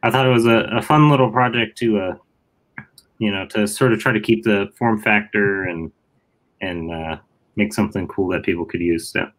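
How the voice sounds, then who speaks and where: A man with a lowish voice presents his own project casually. He is a guest maker, somewhat hesitant, and often lets his sentences trail off. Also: steady tone, moderate pace, fairly bright tone, poor audio. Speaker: show-and-tell guest, maker and seller.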